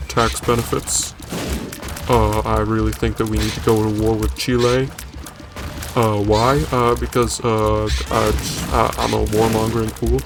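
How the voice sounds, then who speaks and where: A man voicing a pompous, boastful macho politician with a put-on deep voice and a halting delivery in a comic gaming video.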